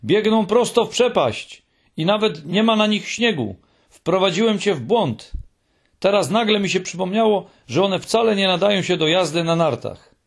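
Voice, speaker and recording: A man's voice: fairly high pitch, sing-song, a little slow, gravelly, husky, voice pushed up, exaggerated voice. A man voicing a nervous, earnest young male troll creature in an audiobook, speaking in an anxious, pleading way. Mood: urgent. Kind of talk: audiobook character voice.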